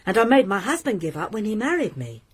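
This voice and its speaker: A woman's voice: mid-range voice, very animated, fast, breathy edge. An actress playing a deferential, hopeful landlady who is eager to please her new lodger. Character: anxious, respectful middle-aged landlady, hopeful. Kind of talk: radio drama acting.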